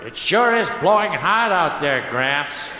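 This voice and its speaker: A noisy old radio comedy recording in a reverberant space. A man voices an earnest little boy, higher in pitch, gushing with loyal optimism and wholesome gee-whiz innocence. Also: big pitch swings, slow pace, sharp tone, exaggerated voice. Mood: earnest, hopeful.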